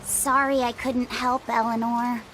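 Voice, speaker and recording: Uma mulher dublando um menino jovem, sincero e curioso, de fala gentil e hesitante. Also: female voice, fairly high pitch, flat delivery, measured pace, a bit bright, soft background music, rough recording. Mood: earnest. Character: earnest curious young boy. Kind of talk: game dub acting.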